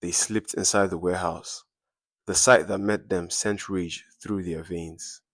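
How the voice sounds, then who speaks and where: A man's voice: deep voice, fairly even intonation, a little slow, fairly bright tone, dry recording. A man narrating a scripted dramatic story in suspenseful, short clipped phrases.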